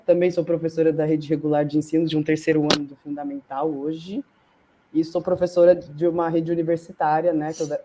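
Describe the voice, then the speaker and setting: A Brazilian woman talks as a guest teacher on a panel. Her voice is mellow and fairly flat in melody. She keeps it informal and conversational. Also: deep voice. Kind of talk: informal self-introduction on a panel.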